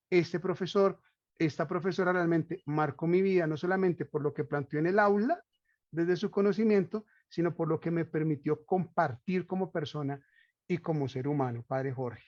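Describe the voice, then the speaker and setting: A man's voice: sits high, darkish tone. A man giving a reflective, didactic lecture as a panel speaker, asking rhetorical questions and repeating key phrases. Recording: roomy echo, dull lo-fi sound.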